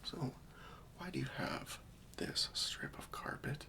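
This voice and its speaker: Guy doing a friendly patient helper in an asmr roleplay, whispery n crackly, real slow coaxing. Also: close to an everyday voice. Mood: gently coaxing. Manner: soft, coaxing, patient, questioning, reassuring. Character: gentle, patient, friendly male helper for hoarders.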